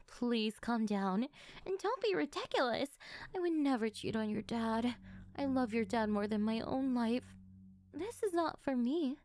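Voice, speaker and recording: A woman playing a sweet, doting middle-aged mother who is a calmly menacing kidnapper, speaking in a sugary, unhurried ASMR roleplay style. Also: a little high. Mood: calm, confessional. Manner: sugary, unhurried, calm about disturbing things.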